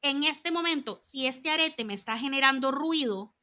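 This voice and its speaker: Costa Rican woman, 30s, interview guest; high and bright, chatty and animated. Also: normal speed. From Costa Rica. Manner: animated, chatty storytelling with lots of asides. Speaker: guest, communications consultant.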